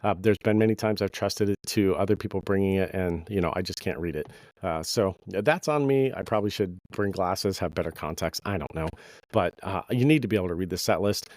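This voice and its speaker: A middle-aged man, a bass player with a slight cold, giving casual, rambling advice to musicians with plenty of fillers. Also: a little fast, a little mellow, no room sound. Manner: conversational, many fillers, anecdotal. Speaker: podcast host, bass player.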